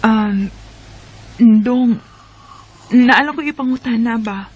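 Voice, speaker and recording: An actress with a Cebuano Bisaya accent playing an anxious, suspicious, soft-hearted young wife in a radio drama. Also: female voice, a little high, takes it slowly, husky, lo-fi sound. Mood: hesitant. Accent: Cebuano Bisaya Filipino.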